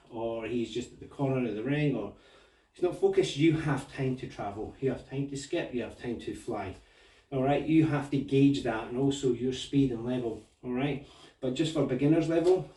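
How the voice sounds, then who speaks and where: A man's voice: mid-range voice, normal speed. A man with a Scottish accent giving a martial arts tutorial, talking through the moves as he demonstrates them. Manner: relaxed, talks through moves while demonstrating. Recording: echoey room, mic at a distance.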